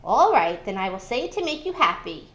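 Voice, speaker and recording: A woman's voice: mid-range voice, very animated, fairly quick, a bit bright, roomy sound. A woman voicing a small, shy but clever female deer in a storybook, sounding coy and playfully innocent. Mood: coy teasing.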